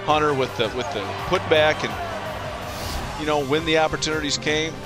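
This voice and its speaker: A man's voice: a little high, steady tone, moderate pace, loud music behind the voice. A man in his thirties, a color analyst breaking down a basketball game at a courtside arena desk, talking conversationally into a headset mic and often repeating words as he starts phrases. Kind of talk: postgame analysis commentary.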